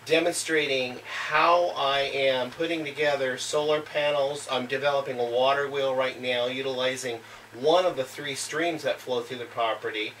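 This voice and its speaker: A man's voice: mid-range voice, steady tone, normal speed, a bit bright, far from the mic, a little hiss or hum, low-quality recording. A middle-aged man who hosts a homesteading channel, answering interview questions in an earnest, plain manner with frequent "uh" fillers, seated in a home library. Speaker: homesteading YouTube channel host. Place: home library with bookshelves and fireplace.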